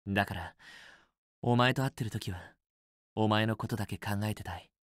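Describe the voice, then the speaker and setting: A man's voice: lowish voice, normal speed, dry recording, studio quality, close to an everyday voice. A man playing a possessive, teasing young student boyfriend in a romance game, with an intimate, assertive, coaxing delivery. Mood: affectionate, coaxing. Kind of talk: Japanese otome game voice acting, intimate romantic dialogue.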